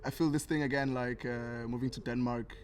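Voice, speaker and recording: Young male interview guest, Tanzanian origin; earnest, casual, medium pitch, ambient noise behind. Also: fairly even intonation, a little fast. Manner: reflective, earnest.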